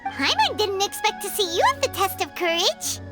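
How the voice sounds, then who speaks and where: A tiny childlike fairy sidekick from a video game with an extremely high, squeaky voice. She is excitable and quick to get indignant, swinging wildly in pitch, with loud music behind her. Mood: cheerful. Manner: talks about self in third person, indignant. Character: tiny excitable female fairy companion, childlike, easily spooked. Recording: playful background music.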